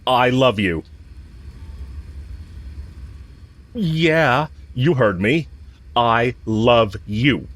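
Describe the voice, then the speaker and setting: A man's voice: mid-range voice, drawn-out words, fairly bright tone, no echo. A man playing a cocky, smitten villain who talks casually, frankly and teasingly to the listener in a romantic audio roleplay. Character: smitten male villain, cocky, teasing, bluntly confessing love.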